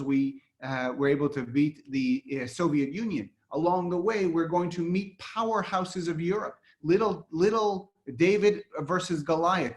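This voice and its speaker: A man from Israel guides his listeners through a history lecture over a video call. His voice sits slightly high for a man, and he explains casually, often pausing on fillers and restarting his sentences. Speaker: lecturer and guide for an online series.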